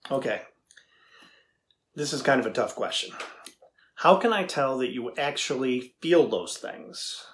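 A middle-aged man playing a thoughtful AI researcher in a dramatized interview, deliberate and conversational as he probes. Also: medium pitch, expressive, a bit bright, slight background noise. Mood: probing, thoughtful. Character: thoughtful male AI researcher questioning a machine. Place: indoor room with backdrop, seated.

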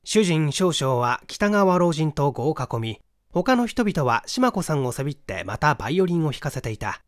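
Animated man narrating a mystery, slightly high-voiced. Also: moderate pace, no room sound, clean studio sound. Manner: expressive, dramatic storytelling. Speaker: audiobook reader, stage actor. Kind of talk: scripted literary narration of a mystery story.

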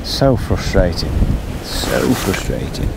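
Man, casual fishing commentary, lowish voice, windy lakeside, clear wind noise on the mic. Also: sing-song, slow pace. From England. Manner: chatty, addresses viewers as folks. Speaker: angler presenting a fishing match. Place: outdoors by a lake in wind.

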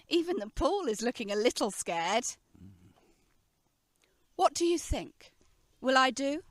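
A woman's voice: high voice, sing-song, slow pace, no room sound, clean studio sound, heavily acted. A woman playing a playful, affectionate teenage daughter in a radio play, teasing and coaxing in a girlish way.

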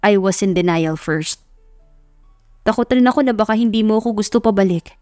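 A woman's voice: medium pitch, fairly quick, darkish tone, dry recording, clean studio sound, soft background music, close to an everyday voice. A woman voicing an earnest, lovestruck young man making intense, sincere declarations in an audio love story. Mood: pleading.

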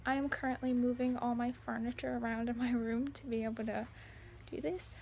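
A woman's voice: fairly high pitch, flat delivery, fairly quick, vocal fry, darkish tone, a little hiss or hum. A young woman in a small bedroom chatting casually to her phone camera, rambling and filling her talk with "like". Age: young adult. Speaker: vlogger. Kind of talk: casual vlog chat. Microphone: phone camera at arm's length and on a dresser.

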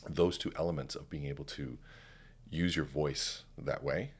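A middle-aged man with an extremely low, resonant baritone speaks calmly on a podcast. He paces his thoughts with deliberate pauses, like a trained speaker coach. The sound comes from a close studio microphone. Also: slightly rough. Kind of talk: conversational podcast interview. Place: podcast studio with curtained backdrop. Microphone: studio microphone on a stand, headphones on.

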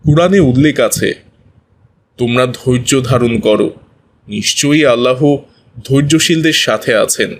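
A man's voice: mid-range voice, lightly acted. A man voicing a kind, wise village religious teacher in a children's cartoon, patient and instructive. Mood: consoling. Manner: patient, didactic, measured phrasing.

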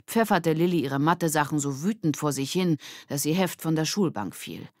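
A woman narrating a children's audiobook in a clear, measured, expressive storybook style. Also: deep voice, no echo, clean studio sound.

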